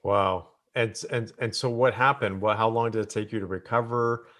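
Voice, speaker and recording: A man's voice: fairly low pitch, fairly even intonation, moderate pace, fairly bright tone. A man hosting a casual interview, encouraging and asking open questions. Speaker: interview host.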